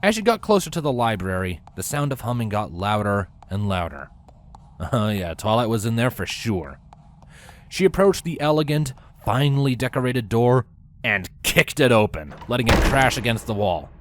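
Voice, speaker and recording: A man reading a story aloud as an expressive narrator. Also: mid-range voice, normal speed. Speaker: fan fiction reader.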